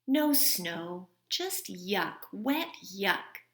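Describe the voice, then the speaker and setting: A woman's voice: mid-range voice, very animated, drawn-out words, a bit bright, roomy sound, exaggerated voice. A woman voicing a grumbly, exclamatory young boy in a children's storybook. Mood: bored, grumbling.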